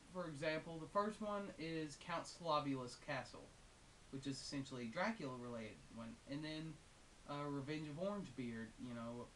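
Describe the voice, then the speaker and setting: Rambling male game reviewer over hiss. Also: on the high side. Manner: rambling, hesitant, amused, enthusiastic. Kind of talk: casual unscripted game review. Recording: hissy, noisy home recording.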